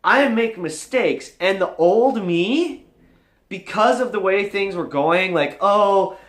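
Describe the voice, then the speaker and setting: A man with a fairly high voice speaks in an earnest, confessional way, as if levelling with his viewers. His pitch moves around a lot as he stresses his points, and the room adds noticeable echo. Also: lively intonation, slow, a bit bright. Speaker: vlog host. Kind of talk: candid motivational vlog talk to camera.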